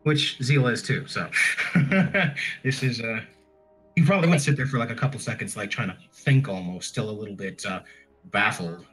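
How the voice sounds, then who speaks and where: Man, low raspy voice, hesitant tabletop player thinking aloud, faint background music. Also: a bit bright, low-quality recording. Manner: halting, unsure, thinks aloud. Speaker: tabletop role-playing game player. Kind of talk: hesitant improvised roleplay talk.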